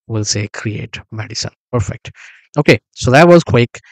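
A man explaining a database build step by step while working on screen, thinking aloud with frequent pauses and small self-corrections. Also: medium pitch, no room sound. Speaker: software tutorial instructor. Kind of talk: screen-recorded tutorial narration.

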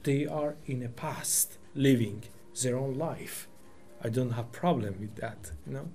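Slow, thoughtful man with a Persian first language. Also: mid-range voice, warm tone, soft background music. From Iran. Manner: thoughtful, searching for words, many restarts and fillers. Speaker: poet giving a talk. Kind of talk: reflective lecture. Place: small meeting room indoors. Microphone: table microphone.

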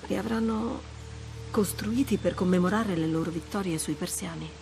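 A woman's voice: fairly low pitch, normal speed, creaky, darkish tone, soft background music. An actress playing a wry, adventurous treasure hunter in her thirties, teasing and understated, in naturalistic video game dialogue. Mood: thoughtful.

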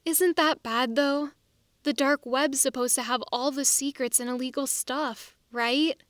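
Bright, high-pitched bubbly teen girl played by a woman. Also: fairly even intonation, unhurried, no room sound, clean studio sound. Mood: curious, chatty. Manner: exclamatory, chirpy. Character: bubbly, energetic, curious teenage girl. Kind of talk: audiobook drama acting.

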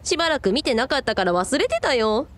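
A woman's voice: high voice, sing-song, a little fast. A woman playing a breezy, teasing young woman in casual radio drama dialogue. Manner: teasing, playful.

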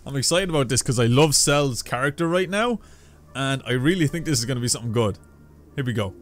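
A man in his twenties giving excited, casual reaction commentary, exclaiming as he hosts. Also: mid-range voice, fast talker. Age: 20s. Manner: animated, exclaiming. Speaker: reaction video host. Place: small bedroom gaming setup indoors. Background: show audio and music playing faintly.

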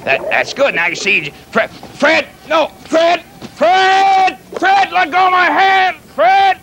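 A man playing a kindly, folksy pirate captain in a children's show, halting and stammering as he rambles and repeats himself. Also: fairly high pitch, sing-song, noisy background. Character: folksy, flustered, kindly pirate captain fond of his clumsy pet.

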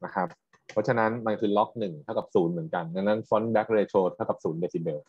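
A man's voice: on the low side, steady tone, quick pace, darkish tone, husky, no room sound. A man teaches as a university lecturer. He explains patiently in a technical style, often tagging his points with short questions to students. The recording sounds somewhat dull and muffled. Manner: explanatory, checks understanding with frequent tag questions.

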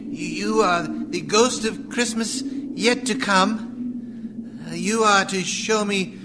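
An actor playing a frightened, humbled old miser who stammers and pleads in a radio play. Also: male voice, high-pitched, fairly even intonation, takes it slowly. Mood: fearful, resigned. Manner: stammering, hesitant. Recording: clear background noise.

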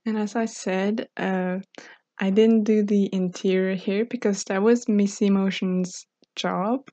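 Young Norwegian woman with mellow voice. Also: mid-range voice, steady tone, takes it slowly, no echo. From Norway. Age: 20s. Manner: friendly, chatty, frequent fillers and light laughs. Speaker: Sims speed-build YouTuber. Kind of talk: casual voice-over narration. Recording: slightly dull, dry home recording.

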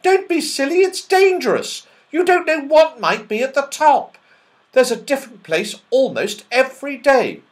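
A squeaky fretful old elf. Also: male voice, sing-song, normal speed, hardly any pauses. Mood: alarmed. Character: old bearded male elf, fussy and easily frightened. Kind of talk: storybook character voice.

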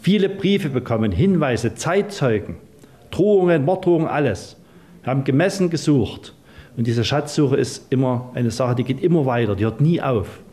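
A man's voice: mid-range voice, animated, moderate pace, dark tone. A man, giving an account in an interview. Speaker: treasure hunter, interviewee. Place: stone mine chamber.

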